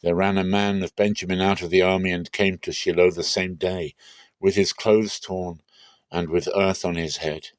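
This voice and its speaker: Low-voiced man giving a dramatic scripture reading. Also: flat delivery, moderate pace, no room sound. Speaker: Bible reader.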